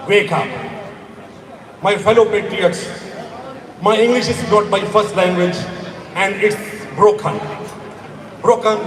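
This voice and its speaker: A middle-aged man from Afghanistan giving an impassioned stage speech at an outdoor rally. He is emphatic, rises to shouts and repeats words for force. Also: high voice. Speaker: rally speaker. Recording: echoey PA heard from far off.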